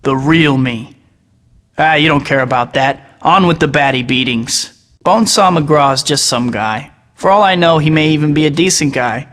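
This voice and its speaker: A man's voice: on the high side, moderate pace, big-room sound, close to an everyday voice. A man voicing a wisecracking young superhero. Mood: wry narration. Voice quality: bright. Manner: sarcastic, teasing. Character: wisecracking young male superhero, cocky and joking. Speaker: video game hero voice. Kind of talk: quippy game voice clips.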